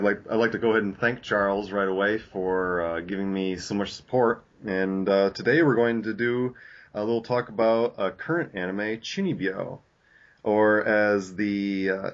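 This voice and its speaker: Young male podcast host, low voice, hesitant and filler-heavy, muffled home recording. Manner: halting, many fillers and restarts. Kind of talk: casual podcast discussion. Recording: lo-fi dull audio.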